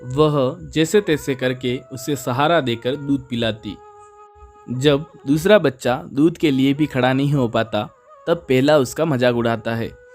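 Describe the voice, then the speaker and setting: A man's voice: mid-range voice, unhurried. A man narrating a scripted children's animal story as its storyteller. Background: music.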